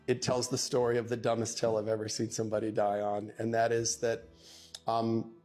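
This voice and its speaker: A man telling a courtroom anecdote as a divorce lawyer, in a punchy conversational way, acting out quoted dialogue with dramatic pauses. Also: mid-range voice. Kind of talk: animated conversational storytelling.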